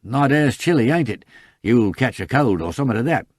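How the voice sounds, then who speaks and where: A man voicing a gruff, plain-spoken middle-aged police inspector in an audiobook reading. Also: medium pitch, animated, a little fast, no room sound, clean studio sound, pitched above the performer's own voice, lightly acted. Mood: coaxing. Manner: blunt, common-speaking, coaxing.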